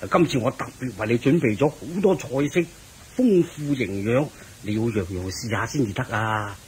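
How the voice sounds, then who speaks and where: A man's voice: medium pitch, moderate pace, darkish tone, a little hiss or hum, close to an everyday voice. A man with a Hong Kong Cantonese accent playing a fat, gluttonous, jovial king in a radio drama, exclaiming and expansive. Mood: hospitable.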